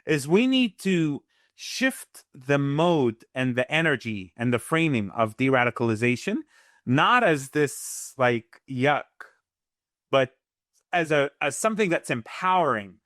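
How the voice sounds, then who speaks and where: A man's voice: medium pitch, animated, unhurried, fairly bright tone, no echo, clean studio sound. A man from Gaza whose first language is Arabic, speaking earnestly as a guest analyst in an interview, often repeating words as he starts a sentence.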